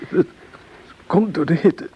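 An actor playing a dying, feverish young soldier in a radio drama, speaking weakly in halting, half-whispered phrases. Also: male voice, on the high side, muffled recording. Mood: weak, resigned. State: feverish, weak, gasping. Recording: hissy radio drama recording.

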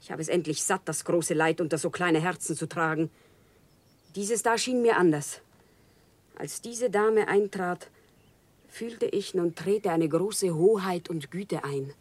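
A woman's voice: lowish voice, a little slow, a little mellow, no room sound. An actress playing an impassioned, naive commoner woman who pleads solemnly and fervently in a German radio play. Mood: bitter, weary. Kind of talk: German radio play, theatrical stage diction.

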